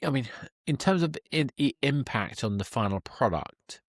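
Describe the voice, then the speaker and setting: A man's voice: mid-range voice, animated, moderate pace, no echo. A man hosting a conversational podcast interview, feeling his way through questions and rephrasing as he goes.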